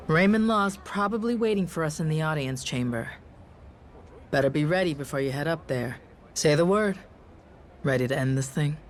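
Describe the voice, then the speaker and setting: An actor playing a confident, brash pirate ally who plans the attack, in a video game cutscene. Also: male voice, on the high side, lively intonation, moderate pace, a little hiss or hum, natural voice. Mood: steady, resolute.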